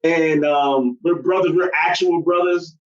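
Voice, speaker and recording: Easygoing male podcast co-host, high voice, laughs a lot, heard a bit off-mic on a dull recording. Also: steady tone, measured pace, far from the mic. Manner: easygoing, laughs often, short agreeing replies. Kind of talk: casual podcast chat.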